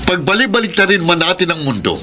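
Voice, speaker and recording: A man's voice: high voice, very animated, fast talker, bright, forceful tone, slight background noise, heavily acted. A man playing a reasonable middle-aged husband and father in a Filipino radio drama, firm and appealing. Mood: firm, persuading.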